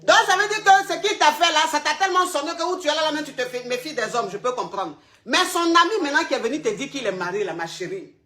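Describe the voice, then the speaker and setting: A woman's voice: sits high, lively intonation, fast talker, few pauses, pushed and bright. A woman hosting a live advice call, blunt and repetitive, calling the caller "ma chérie". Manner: blunt, calls caller "ma chérie", repeats herself. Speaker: advice show host.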